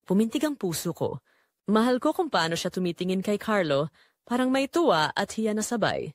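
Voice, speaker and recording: A woman narrates a scripted drama in the first person with emotive, confessional delivery. Her mid-range voice moves with very lively intonation, and the sound is close and dry. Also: mid-range voice, moderate pace, no echo.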